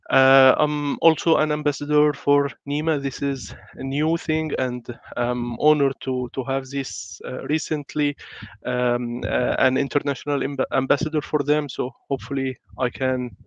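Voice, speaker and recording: A man speaks with a congested, flu-thickened voice, pausing often on fillers and restarting his sentences as he presents. The audio is dry and slightly muffled, like a lo-fi call recording. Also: medium pitch, no room sound. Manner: hesitant, many fillers and restarts. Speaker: guest speaker on building information modelling. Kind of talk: online webinar presentation.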